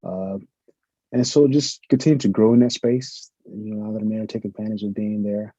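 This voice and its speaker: Middle-aged man, professor guest; fast, husky, very dark tone, muffled lo-fi sound. Earnest, reflective. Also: on the low side, fairly even intonation, no echo. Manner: earnest, reflective, uses many fillers. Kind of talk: conversational interview answers. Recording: muffled lo-fi audio.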